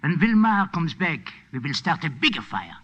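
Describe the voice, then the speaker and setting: An actor in a 1940s radio drama playing an anxious, effusive French hotel keeper with a French accent. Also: male voice, a little high, big pitch swings, moderate pace, fairly bright tone. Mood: anxious, earnest. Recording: muffled old radio broadcast recording.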